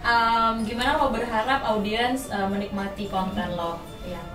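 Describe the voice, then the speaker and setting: A woman's voice: medium pitch, fairly even intonation, a little slow. A woman hosting a casual interview, asking questions in an informal, colloquial way. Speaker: podcast host interviewing guests. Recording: distant, echoey room, music behind.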